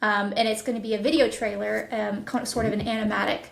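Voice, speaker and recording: A woman's voice: mid-range voice, level tone, fast, vocal fry, roomy sound, rough recording. A woman chatting casually in an interview as a voice director of audio productions.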